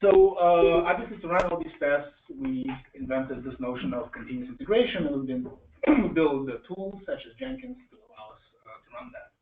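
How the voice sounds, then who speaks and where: A man speaking informally as a meetup host to a live audience, joking and halting as he goes. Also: on the high side, expressive, recorded from a distance. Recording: echoey room, tinny band-limited sound, faint noise.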